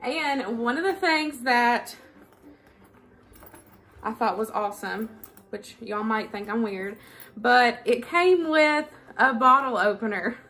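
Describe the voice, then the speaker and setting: A woman's voice: fairly high pitch, expressive, takes it slowly, pauses often, a bit bright. A woman, chatting casually and informally to camera as a vlogger. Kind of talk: casual chat to camera, product review and haul. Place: living room at home.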